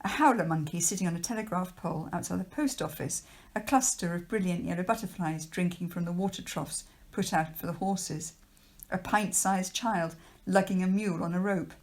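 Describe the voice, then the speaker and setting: A woman's voice: fairly low pitch, normal speed. A woman, a teacher, reading a children's novel aloud as narrator. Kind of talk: storybook narration read aloud.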